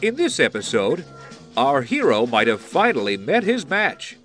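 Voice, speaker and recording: Superhero-serial narrator, male actor: dramatic, campy, very animated, cheeky, over soft backing music. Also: medium pitch, unhurried. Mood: grand, playful. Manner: showman-like, dramatic. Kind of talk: campy old-time serial scripted narration.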